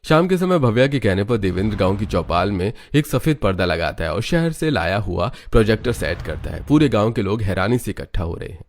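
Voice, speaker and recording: Male narrator, lowish pitch, scripted cartoon storytelling, faint background noise. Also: animated, fairly quick, hardly any pauses.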